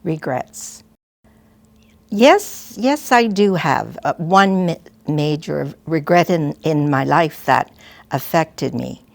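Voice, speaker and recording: An older woman, a retired school principal, telling gentle, halting stories and advice to students. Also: deep voice, expressive, gravelly, no room sound. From Canada. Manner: gentle, halting, with frequent restarts and soft asides. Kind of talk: reflective storytelling to students.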